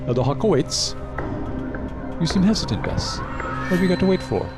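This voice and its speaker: Guy playing a sly smug audio drama villain, mocking, pitch swinging all over, music behind. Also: very animated, normal speed. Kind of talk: full-cast audio drama acting.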